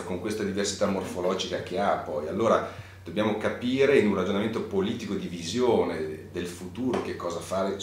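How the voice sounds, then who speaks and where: A middle-aged man, speaking in a political interview. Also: low voice. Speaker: local administrator. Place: wood-panelled office indoors. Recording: very echoey room, distant mic.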